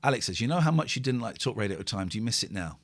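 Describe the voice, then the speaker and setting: A man nearing fifty chats loosely on a live stream, his pitch swinging widely from low mutters to sudden high bursts. He rambles, repeats himself and pokes fun at himself while reading viewers' comments aloud. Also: expressive, very fast. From England. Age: 40s. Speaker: host. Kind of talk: casual live-stream chat.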